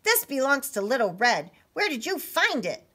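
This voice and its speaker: A woman's voice: a little high, sing-song, measured pace, pushed and bright, pitched above the performer's own voice, exaggerated voice. A woman voicing a brave, earnest little boy hero in a children's storybook, insistent and exclaiming. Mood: demanding. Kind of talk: children's storybook read-aloud.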